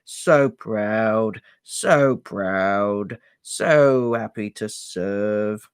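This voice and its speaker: A man voicing a wretched old male house-elf, servile and bitter, who croaks out his words slowly and haltingly. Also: fairly low pitch, no room sound, studio quality, voice pushed down. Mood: rocking, bitter. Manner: slow, halting, gulping for air. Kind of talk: audiobook character voice.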